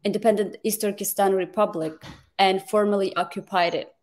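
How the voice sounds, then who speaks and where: Woman guest from Kazakhstan, halting speech full of restarts, mid pitch. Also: fairly even intonation, darkish tone. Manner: halting, many fillers and restarts. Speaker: guest advocate. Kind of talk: interview answers.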